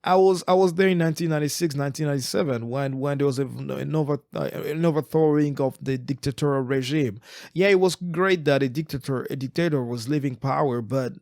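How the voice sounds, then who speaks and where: A man's voice: a little high, fairly quick, darkish tone, no room sound, clean studio sound. A man in his forties from Eastern Congo, co-hosting a podcast, talking earnestly in a reflective way and often repeating words as he restarts his sentences. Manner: earnest, frequent word repetitions and restarts. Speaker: podcast co-host. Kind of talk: reflective casual chat.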